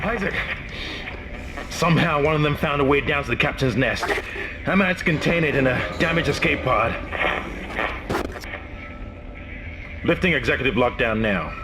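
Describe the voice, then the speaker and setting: Man playing video game security officer, commanding, animated delivery, urgent, noisy transmission. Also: mid-range voice, brisk, lightly acted. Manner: commanding, clipped, urgent briefing. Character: urgent military security officer on a doomed spaceship.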